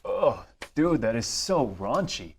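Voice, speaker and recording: Man acting a brash, animated young nephew. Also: mid-range voice, takes it slowly, breathy edge, full character voice. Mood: cocky. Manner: slangy, casual. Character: brash, eager young nephew, slangy and pushy. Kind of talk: screenplay table read acting.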